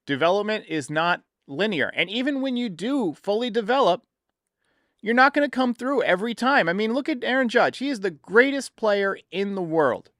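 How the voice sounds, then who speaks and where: Male sports podcast host, slightly high voice, dry close sound, conversational and explanatory. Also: fairly bright tone, no echo. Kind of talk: casual baseball commentary and call-in talk.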